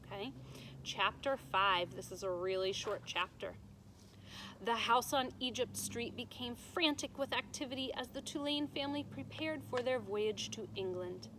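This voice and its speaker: A woman reads a story to young students like a teacher. Her voice is fairly high and bright, and her delivery is emphatic and explanatory. A little noise is audible behind her. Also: moderate pace, low-quality recording. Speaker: teacher reading aloud to students. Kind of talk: storybook read-aloud with simple explanations for children. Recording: noticeable background noise.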